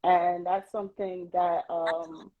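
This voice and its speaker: A woman's voice: on the low side, level tone, takes it slowly. A woman from Queens, New York, reflecting as a podcast guest in casual chat, with many "um" and "you know" fillers. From New York City, Queens. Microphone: phone on a live social video stream. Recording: lo-fi livestream audio.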